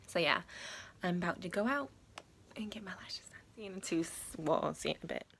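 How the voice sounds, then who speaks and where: A young woman vlogging about her beauty routine in a casual, chatty way, with a raspy voice and lots of fillers. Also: on the low side, brisk, slight background noise. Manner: chatty, relaxed and informal, with many fillers. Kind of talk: casual chat to camera.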